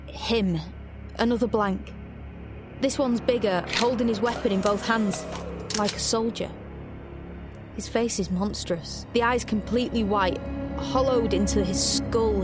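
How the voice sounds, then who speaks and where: Young first-person drama narrator, female actor: medium pitch, reflective, tense, hesitant, set over clear underscore. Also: lightly acted. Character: tense young heroine narrating her own flight, vulnerable, reflective.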